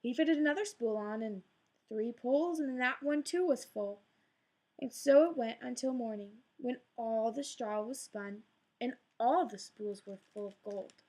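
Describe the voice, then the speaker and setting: A woman narrating a fairy tale in an expressive, gently dramatic read-aloud style. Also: on the high side, a little slow, low-quality recording. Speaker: storyteller.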